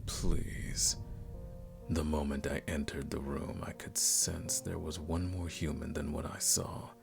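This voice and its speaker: Man playing a very deep, seductive male vampire. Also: flat delivery, creaky, over music, heavily acted. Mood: menacing, playful. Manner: formal, slow, seductive, menacing. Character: suave, predatory aristocratic male vampire, teasing and condescending. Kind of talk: ASMR roleplay, intimate scripted monologue.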